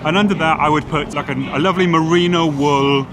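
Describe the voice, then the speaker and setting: A man's voice: a little high, steady tone, fairly quick. A middle-aged man from Wales with a Welsh accent tinged with Geordie, chatting casually and confidently about his work as a fashion stylist while someone films him on a phone. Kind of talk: casual on-the-street chat. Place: outdoors on a city street. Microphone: phone camera held by the other person. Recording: street noise behind, phone recording.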